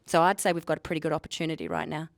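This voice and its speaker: A woman's voice: low-pitched, very animated, very fast, vocal fry, no echo. A woman speaking emphatically and argumentatively at a public forum, repeating words for stress.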